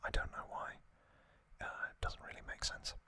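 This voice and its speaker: A man hosting an ASMR recap in a breathy whisper, musing casually and giving his own opinions as he goes. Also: moderate pace, pushed and bright. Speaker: ASMR video host.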